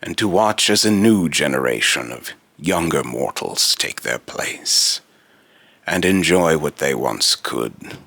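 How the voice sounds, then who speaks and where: Man acting as otherworldly immortal being, robotic, raspy and husky, hard-edged forceful tone, solemn, archaic grandiose monologue, halting delivery. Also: animated, no room sound, full character voice. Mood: mournful. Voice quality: deep, gravelly, raspy. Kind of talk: dramatic character monologue.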